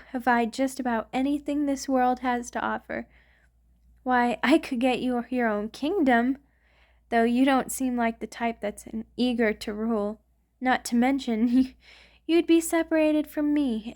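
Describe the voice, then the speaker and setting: A woman playing an affectionate, refined vampire in an ASMR romantic roleplay, speaking tenderly and a little hesitantly, with gently formal wording. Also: on the high side. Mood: doting, playful. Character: affectionate, refined vampire queen in love with a human.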